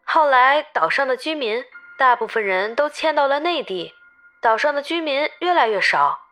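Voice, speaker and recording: Bright, animated female tour guide, acted by a woman. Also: high voice, normal speed, faint music behind. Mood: informative. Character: lively female tour guide telling an island legend to travelers. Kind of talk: dramatized storytelling in an audio novel.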